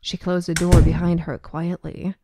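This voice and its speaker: Female narrator, lower register, scripted drama storytelling, noisy recording. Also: little pitch movement, normal speed.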